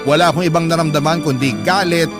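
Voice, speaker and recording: Male radio storyteller, somewhat high pitch, dramatic scripted narration, expressive, measured, music behind. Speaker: radio host reading listener letters.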